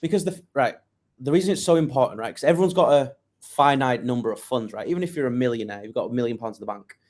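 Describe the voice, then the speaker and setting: A young man with a northern English accent who talks very fast as an interview guest, with a mid-range voice. His answers are casual and chatty, and he keeps tagging "right?" onto his sentences. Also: medium pitch, a little mellow. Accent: northern English, Yorkshire. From northern England. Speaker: guest, business owner.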